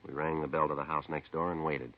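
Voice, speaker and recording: An American actor playing a stoic, polite police detective in a 1950s radio drama, speaking in a terse, matter-of-fact way. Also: male voice, a bass voice, flat delivery, quick pace, noisy background. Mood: businesslike. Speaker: narrator and lead actor. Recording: dull 1950s radio broadcast recording.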